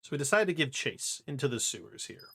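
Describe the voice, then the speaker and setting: A man livestreaming a game, talking casually at a middle pitch with game music running behind him. He is playful and loose, happy to slide into silly rhythmic chanting between bits of commentary. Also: big pitch swings, fast, bright, forceful tone. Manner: playful, breaks into goofy rap-style chanting and sound syllables. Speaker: video game livestreamer narrating a speedrun. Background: game music and sound effects.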